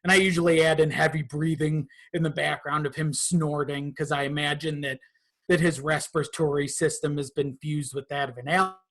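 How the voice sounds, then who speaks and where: A man's voice: on the high side, flat delivery, normal speed, a bit bright. A man talking casually as a podcast guest about his creative work. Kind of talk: casual chat.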